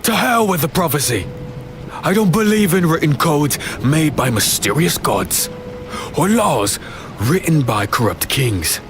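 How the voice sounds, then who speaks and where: A man playing a skeptical, defiant hero in a dark fantasy audio drama, intense and incredulous. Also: fairly high pitch, very animated, a little slow, background noise.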